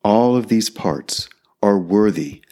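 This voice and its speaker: A man guiding a meditation in a calm, measured way, leaving long pauses between phrases. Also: lowish voice. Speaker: meditation guide. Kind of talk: guided meditation narration. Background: background music.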